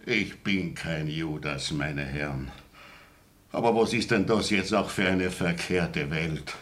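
Drawling Bavarian innkeeper. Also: male voice, deep voice, takes it slowly, a bit bright. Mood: aggrieved. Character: grumbling, smugly courteous male Bavarian innkeeper. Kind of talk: German radio play acting.

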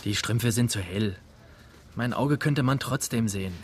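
A brash young male criminal played by an actor in a radio drama. He is brusque, slangy and dismissive, with a mid-pitched voice. Clear ambient noise sits behind him. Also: measured pace, lightly acted.

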